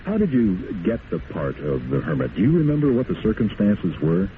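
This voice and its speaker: A deep-voiced man hosts a radio segment, relaxed and chatty, with a lot of "uh" between phrases. The sound is thin and tinny, with clear hiss behind. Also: brisk. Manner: relaxed, many "uh" fillers. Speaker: radio host. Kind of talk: radio show narration and interview. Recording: tinny, noisy old radio tape.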